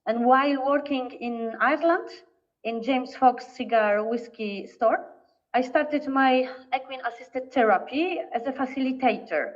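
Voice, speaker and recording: Polish lady guest, kinda highish voice, sounds far off and muffled, stops a lot to find words. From Poland. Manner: halting, searching for words. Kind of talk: casual interview talk. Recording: distant, dull lo-fi remote audio.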